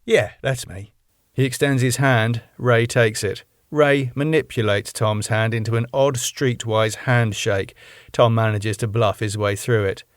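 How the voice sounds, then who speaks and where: A man reads the scene directions of his own script in a plain, even voice. His pitch sits in the middle for a man. The sound is close and dry. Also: measured pace, no echo. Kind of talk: reading aloud scene directions.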